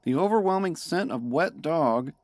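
A man's voice: a little high, lively intonation, moderate pace, darkish tone, dry recording, natural voice. Wary, wry man playing a dungeon prisoner. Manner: cautious, wry, explanatory. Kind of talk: comedic fantasy audio drama acting.

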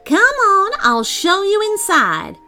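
Brisk, bossy tooth fairy in a storybook, high and bouncy, snappy and fussy, as a woman puts her on. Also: huge pitch swings, bright, forceful tone, soft background music, heavily acted.

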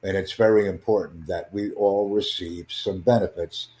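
A man hosting an exam-prep podcast, teaching in a slow, formal, deliberate way and asking rhetorical questions. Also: sits low, fairly even intonation, darkish tone, slightly rough. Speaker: IELTS tutor and podcast host.

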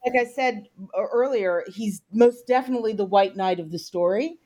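A woman's voice: medium pitch, moderate pace, dark tone. Chatty, curious woman interviewer. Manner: curious and chatty, with frequent "you know" fillers. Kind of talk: interview conversation.

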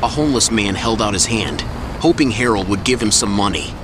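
A man narrating an audio drama in a steady storytelling manner. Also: lowish voice, a little fast, noisy background. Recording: loud music score behind.

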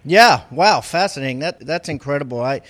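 A man hosts a podcast interview, talking in a loose, conversational way padded with fillers. His voice sits a little higher than most men's. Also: animated, brisk, fairly bright tone. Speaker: podcast host.

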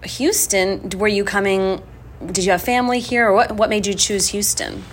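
A woman's voice: mid-range voice, normal speed. Woman host from india, friendly, laughs easy, faint noise in the back. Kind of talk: interview.

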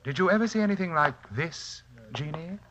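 Actor as a sly, bright-voiced sinister uncle. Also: male voice, a little high, a little fast, highs cut off, slight background noise, lightly acted. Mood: falsely friendly. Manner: coaxing, insinuating, hypnotic. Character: sly, smooth, sinister uncle coaxing a child. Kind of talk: 1940s radio suspense acting.

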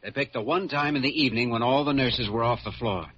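An actor playing an earnest, decisive young hospital doctor in a 1940s radio drama, brisk and serious. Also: male voice, medium pitch, fairly bright tone, natural voice. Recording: muffled, noisy old radio recording.

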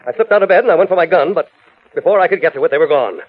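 An actor playing a weary, embarrassed middle-aged police inspector, hemming and exasperated, in a 1940s radio serial. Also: male voice, a little high, lively intonation, rapid-fire, narrow, tinny audio. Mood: agitated. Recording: thin, band-limited vintage radio recording.

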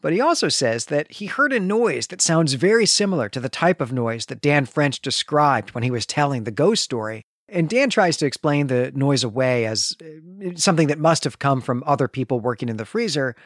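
A male podcast co-host with a slightly high voice. He retells the plot of a novel conversationally, as one host to another, and the recording is dry and close, free of room echo. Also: animated, moderate pace.

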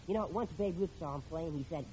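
A man's voice: on the high side, fast talker, mellow, close to an everyday voice. A male actor playing an earnest, loyal, hot-headed young son in an old-time radio sitcom, with eager gee-whiz boyish talk. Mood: proud, defiant. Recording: muffled, hissy vintage radio recording.

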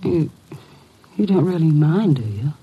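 An American actress playing a poised, scheming secretary in an old-time radio drama, with a smooth, coaxing, formal manner. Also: female voice, low-pitched, expressive, unhurried, exaggerated voice. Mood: earnest, pleading. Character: poised, scheming female secretary, sweetly persuasive. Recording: dull old radio recording.

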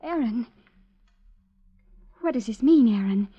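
An actress playing a lovestruck, devoted woman in a 1950s radio drama, with a breathy, dreamy, melodramatic delivery. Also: on the high side, animated, slow, dark tone, muffled recording, heavily acted. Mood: anxious, bewildered.

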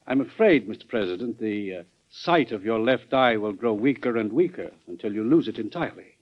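An actor playing a concerned, formal physician, grave and courteous, in an old-time radio drama. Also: male voice, on the low side, expressive, a little fast. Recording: dull, lo-fi vintage radio recording.

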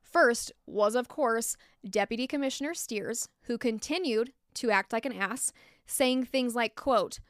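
A woman's voice: fairly high pitch, a little slow, no echo. An American woman hosting a podcast, narrating a true story with blunt, opinionated asides from a close mic. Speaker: podcast host.

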